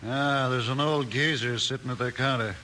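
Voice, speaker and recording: An actor playing a tough Old West outlaw henchman with rough frontier talk in a 1950s radio drama. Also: medium pitch, fairly even intonation, normal speed, sharp tone, natural voice. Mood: cocky, wary. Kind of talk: 1950s western radio acting. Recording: vintage 1950s radio with faint noise.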